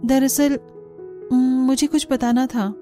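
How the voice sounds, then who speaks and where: A woman's voice: sits high, steady tone, takes it slowly, warm tone, soft background music. A woman voicing an earnest, uneasy but firm young daughter-in-law in a cartoon. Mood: hesitant, uneasy. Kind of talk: cartoon voice acting.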